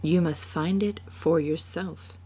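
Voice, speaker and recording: Woman reading as a tender, husky-voiced proud father. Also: deep voice, fairly even intonation, slow, mellow, exaggerated voice. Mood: gentle, teasing. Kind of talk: storybook character voice.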